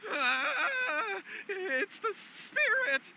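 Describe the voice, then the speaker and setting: A man's voice: very high voice, sing-song, drawn-out words, background noise, heavily acted. A man playing a cowardly, guilt-ridden young man in a video game, nervous, pleading and stammering. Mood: terrified. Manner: stammering, panicky.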